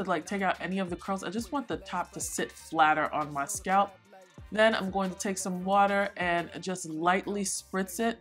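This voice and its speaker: A woman in her thirties chatting playfully through a wig tutorial in her bedroom. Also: fairly low pitch. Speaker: beauty vlogger. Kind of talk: casual wig tutorial and chat. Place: bedroom with shelves, indoors.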